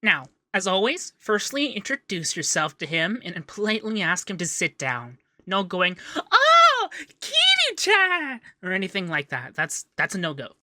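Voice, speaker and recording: A man playing a young, fussy, devoted femboy secretary in a scripted ASMR roleplay, chatty and expressive. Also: sits high, moderate pace, dry recording, heavily acted. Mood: fussy, brisk. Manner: chatty, expressive, bashful asides. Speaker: voice actor in roleplay audio.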